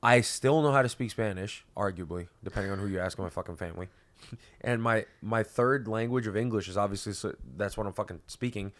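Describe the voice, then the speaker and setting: A man's voice: on the low side, fairly quick, a bit bright. Young man swearing in casual talk. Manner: swears a lot, stumbling restarts. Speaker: podcast host. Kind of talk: casual chat.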